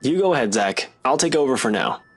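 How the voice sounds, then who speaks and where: An actor playing a gruff, determined police detective in a video game, blunt, guarded and self-assured. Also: male voice, mid-range voice, sing-song, fast. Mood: dry, reassuring.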